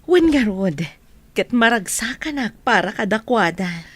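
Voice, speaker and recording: A supportive Filipino woman friend in an audio drama, played by an actress. Her mid-pitched voice swings up and down with lots of animation. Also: lively intonation, measured pace, fairly bright tone, husky, no room sound. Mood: happy. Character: Marcy, supportive female friend of an older bride.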